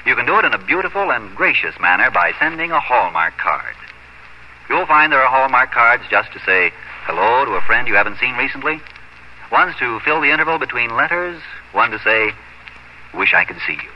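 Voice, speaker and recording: A man's voice: medium pitch, sing-song, normal speed. An American man reading a scripted sponsor message as a 1950s radio announcer. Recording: dull vintage radio recording, faint noise.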